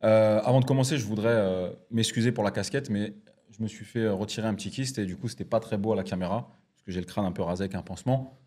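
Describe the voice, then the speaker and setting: A man hosting a casual podcast interview in a studio, talking in a relaxed way with many fillers. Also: on the low side, fast talker. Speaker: podcast host.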